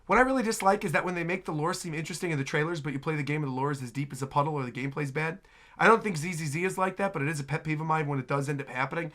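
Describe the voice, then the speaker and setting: A man hosting a reaction video, giving chatty, excitable casual commentary on game trailers. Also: a little high, rapid-fire. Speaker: host, reaction video creator.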